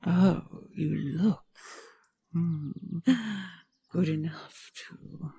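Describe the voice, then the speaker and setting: A woman playing a regal queen and tender lover in a romantic fantasy audio roleplay, breathy and often whispering, with lingering hums and pauses. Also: deep voice, steady tone, mellow, no echo, exaggerated voice. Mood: adoring, intimate.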